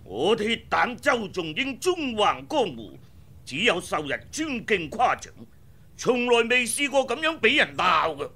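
An actor playing a proud, stern martial-arts master and manor lord in a Hong Kong Cantonese radio drama. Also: male voice, sits high, very animated, a little slow, bright, forceful tone, exaggerated voice. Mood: indignant, brooding.

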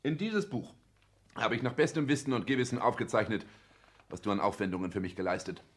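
An actor in a 1960s German radio play, voicing a cold, calculating young careerist in formal, declamatory, precise speech. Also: male voice, on the low side, a little fast, lightly acted. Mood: coldly formal. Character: cold, calculating young male social climber turned director.

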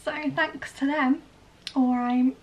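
A woman's voice: high voice, fairly even intonation, slow pace. A young woman from Wales chatting casually to camera in a get-ready-with-me vlog, recorded in a bedroom. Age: young adult. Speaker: vlogger, ballet dancer in training. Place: small bedroom indoors. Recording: slightly distant mic, clearly noisy room.

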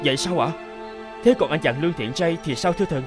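A man's voice: a little high, fast talker, natural voice. A man voicing a humble, earnest young pilgrim in a children's cartoon, speaking politely and deferentially. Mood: respectful. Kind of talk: dubbed children's cartoon acting. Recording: prominent music under the voice.

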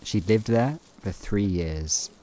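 A man narrating an audio play in a calm, measured and wry way. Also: on the low side, slight background noise. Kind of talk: scripted narration. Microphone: home recording booth.

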